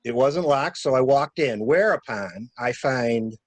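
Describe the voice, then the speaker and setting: A man's voice: mid-range voice, animated, measured pace, dry recording. A man playing a talkative, flustered stranded engineer with a polite, stammering, theatrical manner in a murder mystery reading. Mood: explaining. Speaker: actor in an amateur library reading.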